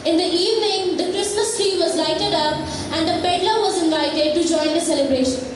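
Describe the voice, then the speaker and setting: A woman's voice: very high voice, normal speed, noisy background. A woman narrating a school play from a script on stage. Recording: echoey hall, distant, music behind. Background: music.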